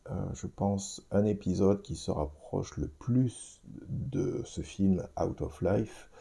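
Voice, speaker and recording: Low gravelly man in his fifties. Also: slow, warm tone. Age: 50s. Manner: rambling, hesitant with many restarts and fillers; addresses listeners directly. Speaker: podcast host. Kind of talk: film review podcast.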